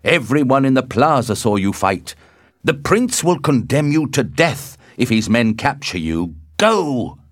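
A man's voice: on the high side, huge pitch swings, measured pace, a little mellow, no room sound, studio quality, pitched above the performer's own voice, heavily acted. A man voicing a loyal young friend in a storybook, speaking emphatically and earnestly. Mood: alarmed, urgent. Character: loyal, urgent young friend giving an account.

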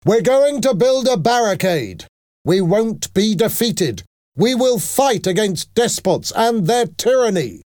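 A man's voice: sits high, big pitch swings, slow pace, a little mellow, dry recording, clean studio sound, pitched above the performer's own voice, exaggerated voice. A man voicing a fiery young revolutionary who gives rousing, defiant oratory in an audiobook. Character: fiery young male rebel leader rallying a crowd.

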